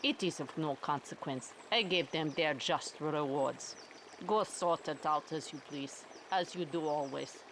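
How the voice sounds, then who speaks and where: A woman's voice: low voice, measured pace, a bit bright, a little hiss or hum. A woman playing a stubborn, self-righteous female swan in an animal audio drama, with an imperious, disdainful and formal way of speaking. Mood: dismissive.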